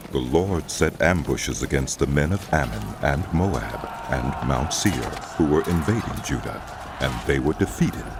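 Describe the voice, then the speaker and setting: Very deep-voiced man narrating scripture. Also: noisy background.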